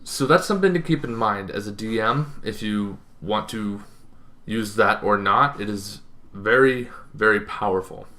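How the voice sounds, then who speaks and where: A young man talking casually to camera, explaining things in an unscripted way with emphatic phrasing and pauses. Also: fairly low pitch, sharp tone. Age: young adult. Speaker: tabletop gaming video host. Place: small bedroom, indoors.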